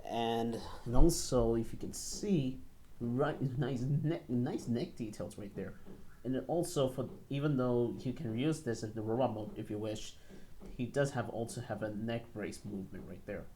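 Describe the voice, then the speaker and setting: A man in his twenties giving a casual, enthusiastic hands-on review, often halting and repeating words. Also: mid-range voice, mellow, slight background noise. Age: 20s. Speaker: toy reviewer. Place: small bedroom, indoors.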